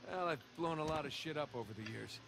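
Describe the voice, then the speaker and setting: An actor playing a wry treasure-hunting adventurer in a video game, conversational and natural. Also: male voice, a little high, fast, vocal fry. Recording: loud game noise over the dialogue.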